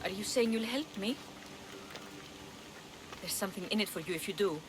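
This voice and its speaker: A woman acting as a sly pirate schemer in a video game. She talks casually and teasingly with a flippant edge, with clear ambient noise behind her. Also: medium pitch, moderate pace, lightly acted. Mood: eager, scheming.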